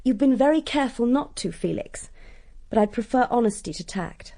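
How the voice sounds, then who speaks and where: A woman's voice: on the high side, animated, moderate pace, warm tone. An actress playing a strong-willed upper-class young woman in a radio drama, frank and probing. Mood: frank, challenging.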